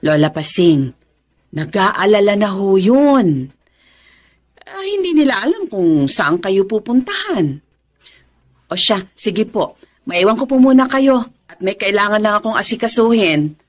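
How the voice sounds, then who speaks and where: A woman playing a patient, kindly senior-center worker in a radio drama, speaking in a gentle, coaxing, respectful way. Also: medium pitch, very animated, measured pace, long pauses. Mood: concerned, reassuring.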